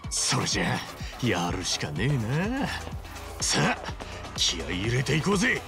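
A man voicing a brash, hot-blooded, good-humoured hero in a video game, talking in rough, punchy street slang. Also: fairly low pitch, huge pitch swings, normal speed, over music, exaggerated voice. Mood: fired up. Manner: rough, informal, punchy street-tough phrasing. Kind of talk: dramatic video game voice acting.

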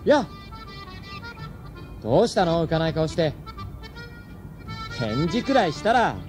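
Breezy, animated young man. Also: a little high, unhurried, soft background music. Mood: breezy, teasing. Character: breezy, cocky young male pizza seller, rich heir. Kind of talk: Japanese radio drama acting.

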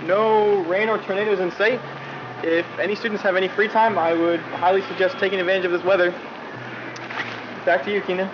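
A young man plays a polite outdoor school correspondent, his voice notably high. He reports mildly and a little hesitantly. The muffled tape has clear ambient noise. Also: steady tone, a little fast, natural voice. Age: young adult. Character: mild, upbeat young male outdoor weather correspondent. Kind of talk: scripted field report.

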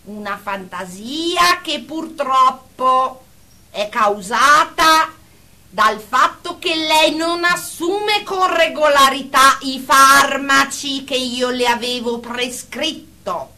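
An actress plays a female group therapist in a radio drama, firm and formal. Her voice is very high and bright. She speaks slowly and deliberately, admonishing her patients with measured authority. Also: exaggerated voice. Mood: stern, measured. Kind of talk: Italian radio drama acting.

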